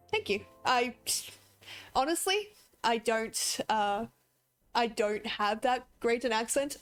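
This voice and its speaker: Young woman game streamer, Australian accent slipping American, rambling talk, slightly high voice. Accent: Australian with American drift. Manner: chatty, rambling, laughs often. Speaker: gaming streamer. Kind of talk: casual livestream chat while playing.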